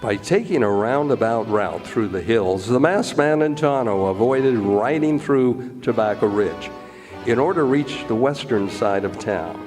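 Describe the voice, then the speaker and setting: A man's voice: medium pitch, lively intonation, measured pace. A man narrating an old-time radio Western from a script. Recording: echoey hall with music.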